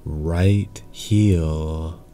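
A man guiding a yoga nidra relaxation in calm, measured instructions, leaving long pauses between short phrases. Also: very deep voice, soft background music. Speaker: yoga nidra meditation guide. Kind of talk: guided meditation, slow calm instruction.

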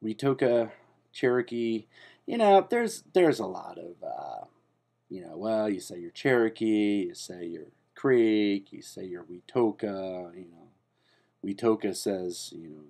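A rambling, self-important man, played by a man, stumbling through his points in a slow, drawn-out way. His voice sits low with a mellow, dark tone. He delivers every absurd claim with deadpan earnestness. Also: creaky, exaggerated voice. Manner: hesitant and rambling, full of fillers and restarts. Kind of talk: comedic spoof monologue to camera.

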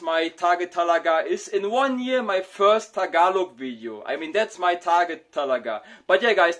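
A young man from Germany with a German accent, talking in an excitable, casual vlog style from a small room, close to the camera. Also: high voice. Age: young adult. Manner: excitable, mixes in Tagalog words. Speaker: vlogger.

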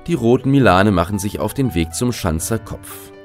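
A man playing the calm, measured narrator of a children's radio adventure, reading scripted narration. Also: on the low side, faint music behind, lightly acted. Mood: narrating.

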